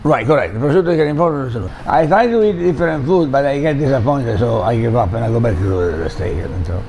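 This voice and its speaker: A man's voice: medium pitch, fast, creaky, noisy background. An older man with an Italian accent, a restaurant owner and chef telling stories in a seated interview, with many restarts and Italian phrases. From Italy. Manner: animated storyteller, repeats words, drops into Italian. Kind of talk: casual interview. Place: bright room by a window.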